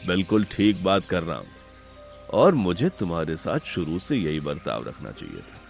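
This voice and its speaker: A man's voice: deep voice, sing-song, moderate pace, vocal fry, exaggerated voice. Cartoon moral tale: male actor as cruel, scheming elder brother, stern orders, very varied intonation, music underneath. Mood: stern, commanding. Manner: stern, commanding. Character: domineering, scheming older brother turned cruel master. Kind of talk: Hindi animated moral story acting.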